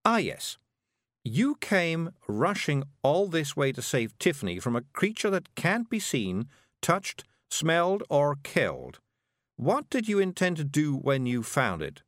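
A man's voice: fairly high pitch, wildly swooping pitch, a little slow, a bit bright, no room sound, studio quality, voice pushed up, full character voice. A man voicing a polite, puzzled, kindly woman with a hesitant, questioning manner in a storybook reading. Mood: doubtful.

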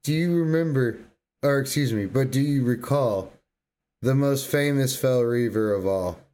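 A man's voice: medium pitch, unhurried, mellow. A man co-hosting a podcast, talking casually about video games with joking, crude banter. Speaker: podcast co-host.

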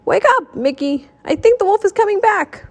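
An excitable little male cartoon duck, acted by a woman reading a storybook, pitched high and swinging widely. He is fretful and mischievous, full of pleading exclamations. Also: normal speed, heavily acted. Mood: mock alarm. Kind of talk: children's storybook character acting.

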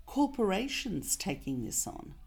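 A woman's voice: mid-range voice, sing-song, unhurried, gravelly, darkish tone, slight background noise. A woman hosting a casual interview, eagerly agreeing and asking pointed questions. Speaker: interview host.

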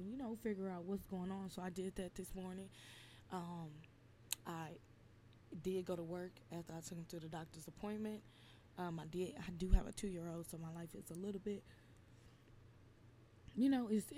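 Blunt, raspy young woman venting. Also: on the low side, warm tone, slight background noise. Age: 20s. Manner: blunt, emphatic, profane. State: slightly raspy from lack of sleep. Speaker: podcast host. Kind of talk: casual venting monologue.

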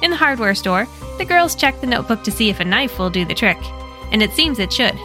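An American woman narrating a story podcast with a bright, crisp voice. Her delivery is lively and wry, with soft music playing underneath. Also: fairly high pitch, fairly quick. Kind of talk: storytelling, retelling a manga.

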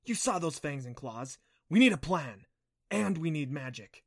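Man voicing an urgent, bright-voiced girl adventurer. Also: fairly high pitch, expressive, unhurried, gravelly, no room sound, clean studio sound, pitched above the performer's own voice, exaggerated voice. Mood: hissed, urgent. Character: urgent, level-headed girl adventurer, easily alarmed. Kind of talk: audiobook character voice for a fantasy story.

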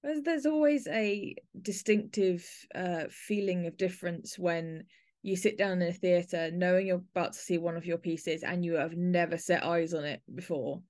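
A woman's voice: lowish voice, normal speed, a little mellow. A woman speaking as the host of a podcast, introducing and interviewing her guest.

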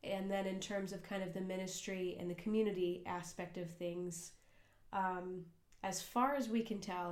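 An American woman in her young adult years chats earnestly on a podcast, meandering through her points with lots of "um"s and self-corrections. Her voice sits in an ordinary middle pitch and carries a roomy echo from the space she records in. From United States. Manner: earnest, rambling with many fillers and restarts. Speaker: podcast co-host and cafe worker. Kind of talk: casual conversational podcast. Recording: echoey room.

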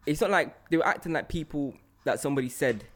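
A man's voice: fairly high pitch, fast. A man with a London accent hosting a casual podcast chat, talking informally with slang and repeating himself for emphasis. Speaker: podcast host.

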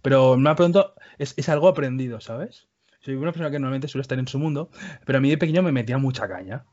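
A man with a Spanish accent, chatting casually on a podcast. His voice sits at a middle pitch, and he often stumbles and repeats himself as he gathers his thoughts. The audio is dull and lo-fi. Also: quick pace, a little mellow. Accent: Spanish from Spain. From Spain. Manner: hesitant, many restarts and repeated words. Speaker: podcast co-host. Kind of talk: casual chat. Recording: muffled lo-fi audio.

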